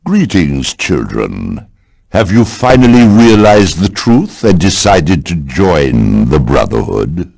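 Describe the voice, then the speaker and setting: A man voicing a stern older mutant supremacist leader in a video game, lofty, measured and patronizing. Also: deep voice, big pitch swings, vocal fry, darkish tone, no echo, studio quality, full character voice. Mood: coolly inviting. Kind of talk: video game villain voice acting.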